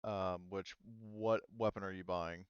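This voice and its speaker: A man acting as game master in a casual online tabletop session, explaining rules haltingly as he goes. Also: sits low, steady tone, smooth, no echo, dull audio.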